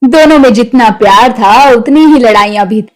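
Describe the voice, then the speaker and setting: A woman's voice: fairly high pitch, normal speed. A woman storyteller reading scripted narration for a tale.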